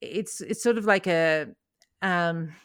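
A middle-aged woman talks in a relaxed, meandering way, restarting her sentences and laughing often. Her voice sits low for a woman, and the recording is close and dry. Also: slow, clean tone, no echo. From Australia. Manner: rambling, frequent restarts and laughs. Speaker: podcast co-host. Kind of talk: casual chat.

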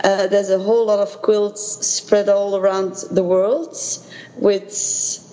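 Belgian woman, native dutch speaker, measured and earnest, big echoey dull recording. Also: medium pitch, little pitch movement, warm tone. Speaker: interview guest. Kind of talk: personal recollection in an interview. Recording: dull sound with long reverb.

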